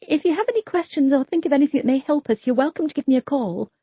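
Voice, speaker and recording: A woman's voice: sits high, animated, fast, no echo, lo-fi sound, voice pushed up. A woman voicing a polite, unsure young policewoman who talks in a placating, hesitant way. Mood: gentle.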